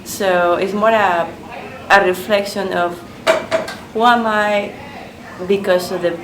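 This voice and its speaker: A woman from Spain with a Spanish accent, answering interview questions in a halting way as she searches for words. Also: mid-range voice, fairly even intonation. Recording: echoey room with background noise.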